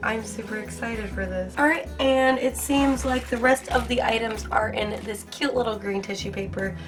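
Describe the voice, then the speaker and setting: A young woman hosting an art-supply unboxing video, hoarse from a cold and gushing with excitement in a casual, rambling way. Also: on the high side, moderate pace, music in the background. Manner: bubbly, gushing, filler-heavy. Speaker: art channel host.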